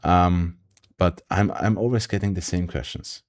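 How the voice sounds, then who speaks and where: A man's voice: sits low, unhurried. A man with a German accent, German his first language, giving a casual market analysis and often adding "yeah?" to check his point lands. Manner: tag questions and fillers, yeah, um. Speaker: stock market YouTuber.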